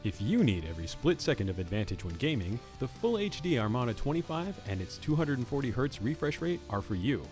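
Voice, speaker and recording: A male announcer delivers a scripted ad with grandiose theatricality. His mid-pitched voice moves in animated swoops, and faint music plays behind him. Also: a little fast, hardly any pauses. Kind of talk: scripted advertising read.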